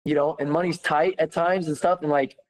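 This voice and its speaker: A man's voice: fairly high pitch, a little fast. A young American man, a former footballer, telling his story in a casual interview with many fillers. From United States. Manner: animated, many fillers, laughs easily.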